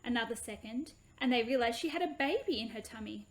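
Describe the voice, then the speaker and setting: A woman reading her own children's book aloud in an expressive, animated way. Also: fairly high pitch, fairly quick.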